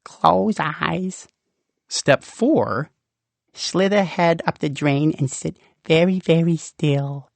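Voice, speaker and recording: A man narrating a children's audiobook in an expressive, playful way with dramatic pauses. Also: fairly high pitch, vocal fry, no room sound. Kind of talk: expressive scripted storybook narration.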